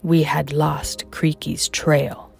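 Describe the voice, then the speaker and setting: A woman's voice: low-pitched, little pitch movement, slow, gravelly, darkish tone, slightly rough, soft background music, heavily acted. A woman voicing a small, boastful, easily miffed male dragon telling a tale from a children's storybook in a theatrical, self-important way. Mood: dramatic storytelling. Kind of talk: children's storybook character reading.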